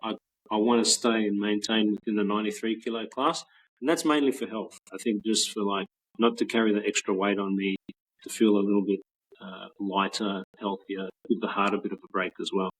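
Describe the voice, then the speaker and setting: Husky Australian man in his forties. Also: lowish voice, brisk, rough recording. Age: 40s. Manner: relaxed, rambling with many restarts and soft laughs. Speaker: guest, patent office trainer. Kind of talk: casual interview chat. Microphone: video call.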